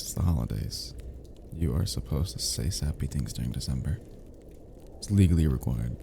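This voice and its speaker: A man playing a cozy, teasing young male friend who is sweetly smitten, in an intimate ASMR roleplay, murmuring softly and hesitantly with chuckles. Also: sits low, creaky, slight background noise, heavily acted. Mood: sheepish, cozy.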